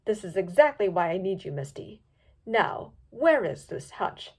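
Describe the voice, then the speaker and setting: A haughty, imperious pony sorceress, clipped and exasperated, her voice pitched lower than the rest of the storybook cast. A woman acts her out in a reading. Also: big pitch swings, a little slow, breathy edge. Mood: stern.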